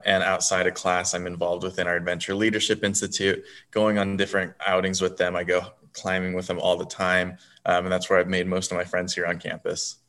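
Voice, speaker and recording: Young man from SoCal, very low monotone, laid-back student. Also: brisk, hardly any pauses. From Southern California. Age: young adult. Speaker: student tour guide. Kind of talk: casual interview answers.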